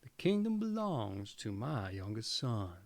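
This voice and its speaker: A man's voice: fairly low pitch, sing-song, unhurried. A man voicing a stately old king and father in a storybook reading. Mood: solemn decree.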